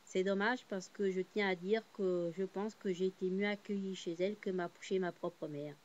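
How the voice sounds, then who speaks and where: A woman's voice: mid-range voice, normal speed, highs cut off. Woman, casual monologue, rambling and heartfelt, full of fillers. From born in Portugal, Cape Verdean family. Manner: rambling, many fillers and restarts; heartfelt, swings between subdued and animated.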